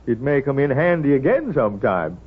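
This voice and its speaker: An actor playing a wise, sly small-town doctor in a 1930s radio drama, with folksy hems and haws. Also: male voice, medium pitch, sing-song, a little fast, slightly rough, soft background music. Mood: sly, kindly. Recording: tinny, narrow 1930s radio broadcast.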